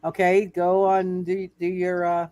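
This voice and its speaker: A man narrating a tabletop game as game master, improvising in a halting way and repeating himself. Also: sits high, completely flat delivery, warm tone, clean tone.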